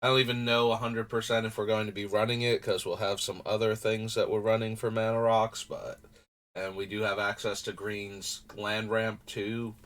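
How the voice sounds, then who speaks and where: A man with a slightly low, stuffy-nosed voice, chatting to a live stream as he sorts through cards. He rambles and thinks out loud, full of "um" and "like", and his nasal tone is clear throughout. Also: flat delivery, moderate pace. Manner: rambling, thinking aloud with fillers. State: runny nose. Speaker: streamer building a card game deck. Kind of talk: casual live-stream talk.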